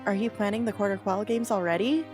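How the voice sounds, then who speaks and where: A woman's voice: medium pitch, quick pace, close to an everyday voice. A woman playing a guarded, strong-willed young heroine in an amateur radio drama. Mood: polite. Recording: music behind.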